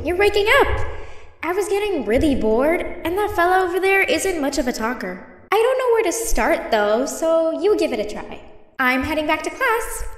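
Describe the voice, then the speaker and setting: A high, lively female creature chatters and bosses people around in a bouncy voice. The lines echo in a roomy, long reverb, like game audio. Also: distant mic. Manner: chatty and bossy, shrieking in alarm.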